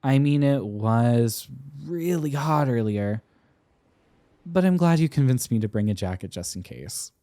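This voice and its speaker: A man's voice: mid-range voice, expressive, measured pace, no echo. A young man playing a tender, flustered college-age boyfriend in an intimate romantic roleplay, with hesitant, stammering delivery. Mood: affectionate, playful. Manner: hesitant, stammering, soft-hearted.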